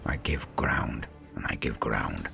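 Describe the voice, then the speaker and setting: A man voicing a proud, calculating veteran warrior lord in a sci-fi story, narrating his battle in solemn, theatrical and deliberate phrases. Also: a bass voice, expressive, creaky, sharp tone, faint music behind, exaggerated voice. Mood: tense, measured. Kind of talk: dramatic first-person story narration.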